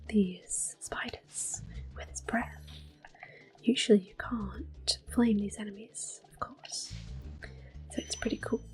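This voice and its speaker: A woman whispering soft, unhurried commentary as she plays through a video game for an ASMR channel. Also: medium pitch. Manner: soft, unhurried whisper with occasional soft-spoken words. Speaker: ASMR gameplay host.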